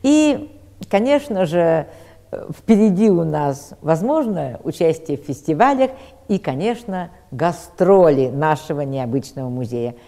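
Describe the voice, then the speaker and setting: A woman giving a formal, deliberate spoken presentation. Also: sits low, expressive, dark tone. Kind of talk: spoken presentation introducing a cartoon project. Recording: echoey hall, music behind.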